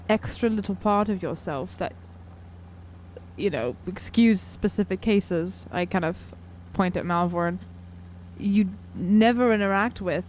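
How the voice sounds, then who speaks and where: A woman's voice: medium pitch, darkish tone. Earnest, questioning woman, faint hum. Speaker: player in an actual-play podcast. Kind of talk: in-character tabletop roleplay chat.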